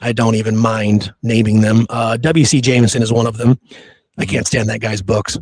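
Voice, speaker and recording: A man's voice: lowish voice, level tone, normal speed, a little mellow, breathy edge, no room sound. Blunt male podcast host, dry sound. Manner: opinionated, blunt, conversational. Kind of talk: casual history discussion.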